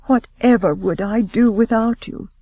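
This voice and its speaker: A man's voice: high voice, measured pace, dry recording, phone-quality sound, voice pushed up. A man voicing an anxious, devoted young noblewoman who speaks in a breathless, pleading way. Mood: affectionate.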